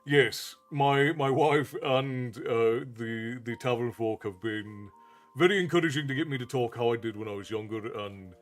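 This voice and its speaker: A man's voice: mid-range voice, moderate pace, soft background music. Folksy Irish-tinged streamer man. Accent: Americanized Southern Irish. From Ireland. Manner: folksy, thanks chat by name, calls viewers "love". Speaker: streamer and storyteller host. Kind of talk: casual livestream chat.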